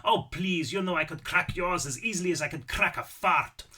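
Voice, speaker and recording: Casting: male narrator as old Austrian lawyer, high and animated, mock-dramatic teaser with a soft spot, audiobook role. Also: moderate pace. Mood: playful scorn. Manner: theatrical, playfully grumbling. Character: elderly Austrian lawyer, teasing, dramatic, fond old man. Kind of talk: audiobook character voice.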